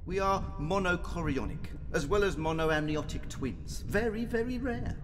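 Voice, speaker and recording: An actor playing a cynical, brainy, anxious unborn twin in a radio drama, sardonic and scornful, as if lecturing. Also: male voice, high voice, animated, normal speed, over music. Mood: grave, confessing. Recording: long hollow reverb with clear background noise.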